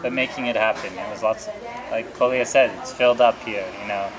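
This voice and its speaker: A man interviewing, speaking reflectively and a little haltingly as he searches for words. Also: mid-range voice, fairly even intonation. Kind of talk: conversational interview. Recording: busy crowd noise behind. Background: crowd chatter.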